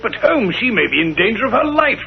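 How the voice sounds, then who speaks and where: A man playing an earnest, puzzled British gentleman, a detective's loyal companion in a vintage radio drama, with a creaky voice and hesitant, deferential manner. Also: very high-pitched, very animated, a little fast, exaggerated voice. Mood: alarmed, resolute. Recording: dull, lo-fi vintage radio recording.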